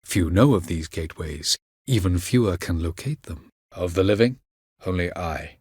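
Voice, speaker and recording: A male actor playing an aloof, ancient elven mage in a video game, speaking in a measured, condescending way with formal, archaic phrasing. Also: sits low, animated, darkish tone, slightly rough, no echo, studio quality. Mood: measured, grave. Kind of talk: video game character acting.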